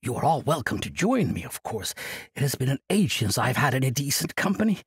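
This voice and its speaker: A man's voice: mid-range voice, big pitch swings, a little fast, breathy edge, no echo, studio quality, exaggerated voice. A man voicing an eccentric, cheerful old monk with a sing-song, scatterbrained manner. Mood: jovial.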